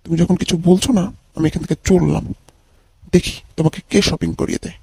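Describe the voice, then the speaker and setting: A man voicing a vain, huffy old sugar daddy with a husky, creaky voice and blustering manner in an amateur comedy cartoon. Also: a little high, steady tone, quick pace, mellow, dry recording. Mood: indignant. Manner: indignant, blustering.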